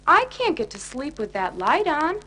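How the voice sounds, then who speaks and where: Woman as a high-voiced sleepy little bear. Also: big pitch swings, moderate pace. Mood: startled, sleepy. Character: sleepy, pudgy, gentle little cartoon bear. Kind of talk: children's storybook character voice. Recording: noisy 1980s children's record.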